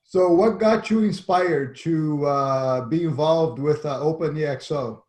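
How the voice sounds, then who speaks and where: A man's voice: on the high side, unhurried, darkish tone, roomy sound. A man hosting a webcast interview, introducing his guest and asking questions over a video call. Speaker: host.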